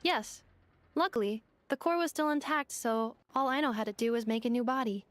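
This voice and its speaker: Polite, high-voiced robot assistant played by a woman. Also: close to an everyday voice. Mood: reassuring. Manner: measured, formal, explanatory. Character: polite, composed female robot assistant, loyal and earnest. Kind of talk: anime-style video game voice acting. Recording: faint music behind the voice.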